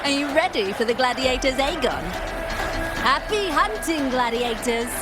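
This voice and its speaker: A woman's voice: high voice, lively intonation, moderate pace, a loud crowd, low-quality recording. A woman voicing a bold, proud young female gladiator in a video game, with a hearty, rousing, proclaiming delivery. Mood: eager, confident. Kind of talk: dramatic game voice acting. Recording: loud music over the voice.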